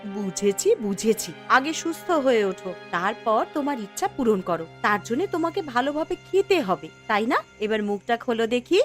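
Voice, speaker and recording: A woman playing a gentle, devoted young village wife in a cartoon, speaking in a tender, coaxing way. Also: fairly high pitch, very animated, normal speed, soft background music.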